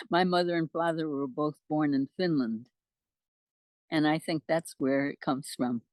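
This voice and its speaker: A woman in her nineties from the United States chatting casually as an interview guest, halting and good-humored. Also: sits low, a little mellow, no room sound. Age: 90s. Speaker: interview guest, amateur postcard poet. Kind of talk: casual chat.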